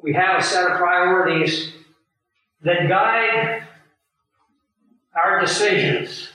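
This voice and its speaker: A man preaching a sermon to a live audience, his voice hoarse and scratchy from the flu, teaching in an earnest, emphatic way with pauses. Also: high-pitched, bright, forceful tone. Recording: very echoey room, voice far from mic.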